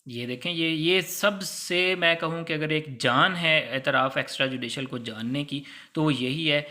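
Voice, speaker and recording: A man's voice: fairly high pitch, a little slow, sharp tone. A man with a Pakistani accent lecturing in a conversational, didactic way, explaining step by step and mixing in English legal terms. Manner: didactic, conversational, acts out hypothetical examples. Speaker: law lecturer.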